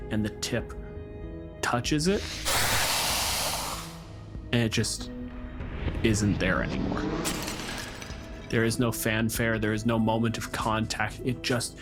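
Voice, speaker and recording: Deliberate male game master over music. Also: mid-range voice. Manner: deliberate, descriptive, second-person storytelling. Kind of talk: tabletop game narration and rulings. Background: music behind the voice.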